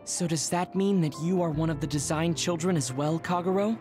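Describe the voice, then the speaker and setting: A man voicing a composed, polite and analytical young squad member in a video game, measured and inquisitive. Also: fairly high pitch, music in the background, natural voice. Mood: calm, probing. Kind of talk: video game cutscene voice acting.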